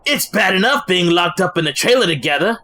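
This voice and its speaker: A man's voice: high-pitched, lively intonation, brisk, sharp tone. A man voicing a whiny, hapless small-time robot criminal in an audio drama. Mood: whiny, resigned. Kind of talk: animated-style audio drama acting.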